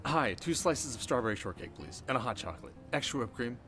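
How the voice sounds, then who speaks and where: A cocky teenage bad boy acted by a man speaks over background music in an ASMR roleplay. His voice sits at a middling male pitch, and he talks in loose street slang, teasing on the surface and soft-hearted underneath. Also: expressive, quick pace, close to an everyday voice. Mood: casual, helpful. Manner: slangy, casual, stumbling when flustered. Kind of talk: ASMR roleplay acting, addressing the listener.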